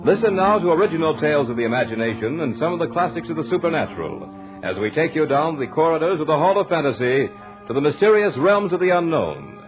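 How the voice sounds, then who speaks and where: A man announces in classic 1940s radio style. His delivery is grand, deliberate and dramatic, clearly scripted, with music playing behind him. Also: a little high, very animated, rough recording.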